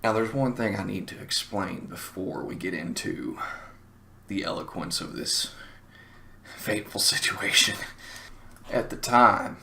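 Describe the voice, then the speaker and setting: A man's voice: fairly low pitch, unhurried, vocal fry, long pauses, sharp tone. A man with a Southern US accent telling a casual comic anecdote in a wry, self-mocking, wordy way. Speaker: storyteller, show host.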